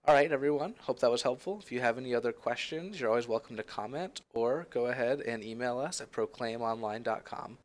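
A young man presenting a screen-recorded software tutorial, explaining each step in a friendly, conversational way. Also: mid-range voice, a little fast, hardly any pauses.

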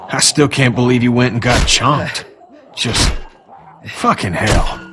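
An actor playing a grieving, blunt survivor with a Southern US accent in a video game, gruff, folksy and halting. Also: male voice, medium pitch, noisy background, lightly acted. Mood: shaken.

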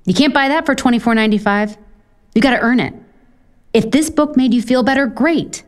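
A woman's voice: on the high side, brisk, natural voice. An actress playing an earnest, self-deprecating woman author in a romantic comedy audio drama, frank, rambling and profane. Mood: earnest, confessional.

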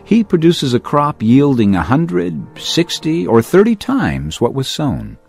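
An actor playing an earnest man teaching crowds in parables, in a measured storytelling delivery for a dramatised audio Bible. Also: a little high, wildly swooping pitch, gravelly, a little mellow, slightly rough, exaggerated voice. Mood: instructive. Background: music.

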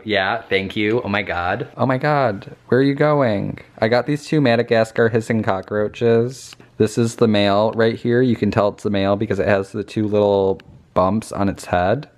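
A young man vlogging at home, holding the camera out at arm's length. His voice sits fairly low, and he chats in an upbeat, easygoing way, dropping "like" into his sentences. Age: young adult. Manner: upbeat, chatty, says "like" often. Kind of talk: casual vlog chat. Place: small indoor room at home. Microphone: handheld camera at arm's length.

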